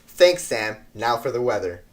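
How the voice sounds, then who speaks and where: A man's voice: medium pitch, big pitch swings, normal speed, heavy background noise, natural voice. Man acting as an earnest 1920s news anchor. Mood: earnest, formal. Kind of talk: scripted news report.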